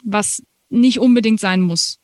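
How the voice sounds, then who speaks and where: Young woman in her twenties, guest in a casual interview; animated, laughing, dry close mic. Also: mid-range voice, measured pace, no echo. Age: 20s. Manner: animated, laughs often, many fillers. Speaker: musician guest.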